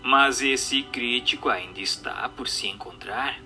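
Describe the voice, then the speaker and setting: Male narrator, formal audiobook read, sharp and very forceful tone, didactic delivery, music underneath. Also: mid-range voice, unhurried. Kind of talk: formal scripted narration of a religious-philosophical book.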